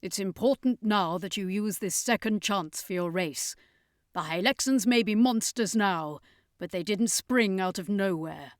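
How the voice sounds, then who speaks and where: A woman's voice: mid-range voice, measured pace, no echo, studio quality, lightly acted. A woman voicing a boastful, theatrical male circus master in an audiobook, pompous and proclaiming. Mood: earnest.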